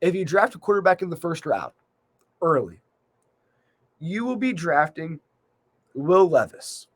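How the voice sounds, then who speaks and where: A man hosting a solo sports recap in a measured, conversational way with emphasis on key points, his voice slightly worn by a cold. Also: fairly high pitch, sing-song. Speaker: sports podcast host.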